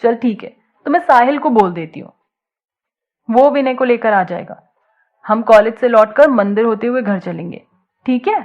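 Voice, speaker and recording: A woman's voice: a little high, expressive, moderate pace, narrow, tinny audio, natural voice. A woman voicing a teasing, bold young college student who talks in a casual, coaxing way in an audio story. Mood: teasing, encouraging. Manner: playful, coaxing, casual slangy talk.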